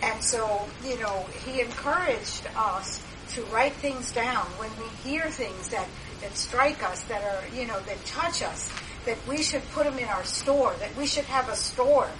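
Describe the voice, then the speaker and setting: A woman's voice: on the high side, measured pace. Older woman, rambling church testimony. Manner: rambling, with many fillers and restarts. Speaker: church member sharing. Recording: distant mic, background noise.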